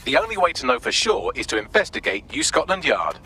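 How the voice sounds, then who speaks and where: An actor playing a dry, witty British AI assistant in a game, speaking in precise, wordy briefings with a sardonic manner. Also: male voice, a little high, huge pitch swings, a little fast, sharp tone, slight background noise, exaggerated voice. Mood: brisk, dry. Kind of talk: game voice acting, mission briefing.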